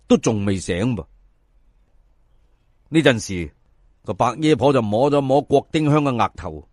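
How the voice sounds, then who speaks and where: A man's voice: mid-range voice, no room sound, studio quality. A man narrating a folk tale in colloquial Cantonese as a storyteller, theatrical, addressing listeners and stretching words for emphasis.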